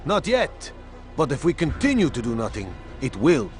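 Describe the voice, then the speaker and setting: A man's voice: mid-range voice, huge pitch swings, normal speed, soft background music. An actor playing a determined townsman in a video game, earnest and resolute. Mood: urgent. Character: determined male townsman plotting against a slaver.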